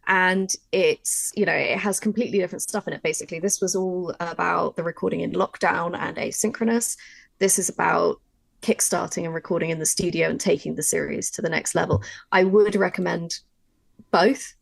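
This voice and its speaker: Female workshop co-presenter, writer type, fairly low pitch with plenty of vocal fry, emphatic explainer who repeats for stress. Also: steady tone, a little fast, no room sound. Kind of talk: informal spoken workshop discussion.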